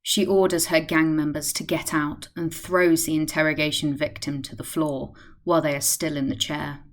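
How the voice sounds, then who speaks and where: A woman's voice: low voice, little pitch movement, normal speed. A woman reading scripted scene narration as the narrator of a radio play.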